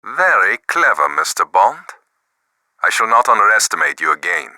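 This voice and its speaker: A man's voice: lowish voice, big pitch swings, normal speed, sharp tone, no echo, heavily acted. A man voicing a menacing, grudging villain in a spy video game. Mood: cold, grudging.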